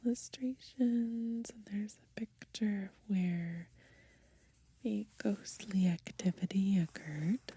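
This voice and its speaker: A young woman softly whispering in a gentle ASMR style as she browses and reads from a book outdoors. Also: medium pitch, steady tone, slow. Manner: soft, gentle, breathy whispering. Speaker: ASMR creator. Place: outdoors in the grass.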